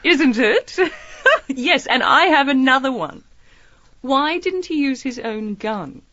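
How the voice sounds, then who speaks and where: A woman's voice: high voice, expressive, slow pace, bright, forceful tone, dry recording, muffled recording, exaggerated voice. An actress playing a shrewd, playful lady detective in a radio mystery, politely probing with mock innocence. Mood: probing, teasing. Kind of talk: radio mystery drama acting.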